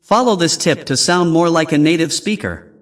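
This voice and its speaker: A man narrating a language lesson in a slow, clear, announcer-like way. His voice sits a little high and swings widely in pitch. A faint hiss runs underneath. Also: animated. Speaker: English teacher host.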